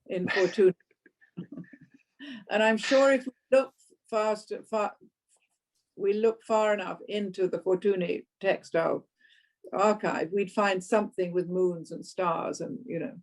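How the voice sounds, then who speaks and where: A woman's voice: medium pitch, a little slow, rough recording. A woman interior designer chatting enthusiastically and playfully in a video call conversation.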